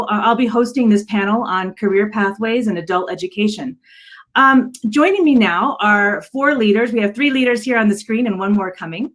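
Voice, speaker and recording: A woman hosting a panel over a video call with semi-scripted remarks, often pausing and repeating words as she explains. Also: on the high side. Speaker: panel host and adult education director.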